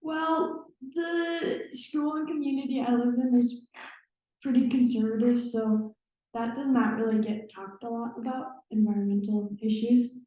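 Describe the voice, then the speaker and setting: A woman's voice: a little high, fairly even intonation, measured pace, warm tone. A young woman from Minnesota speaking on a panel, hesitant and casual. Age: young adult. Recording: echoey room from a distance, dull sound.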